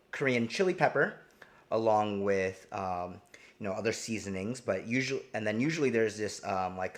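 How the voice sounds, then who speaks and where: A man from New Jersey hosting a live cooking stream, talking casually and rambling with lots of ums and restarts. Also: lowish voice. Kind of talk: casual live cooking demo, reading chat.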